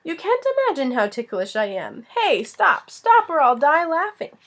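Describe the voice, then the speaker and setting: A woman's voice: a little high, a little fast. A woman voicing a bold, playful, boisterous young girl, exclaiming and teasing as she reads a children's story. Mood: giggly, shrieking. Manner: exclaiming, teasing, shrieking when excited.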